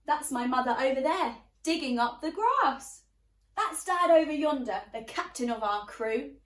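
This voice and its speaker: A woman's voice: high voice, lively intonation, moderate pace. A woman voicing a cheerful, boastful young pirate boy in sing-song rhyming sea-dog talk for a storybook. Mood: excited. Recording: roomy, distant microphone.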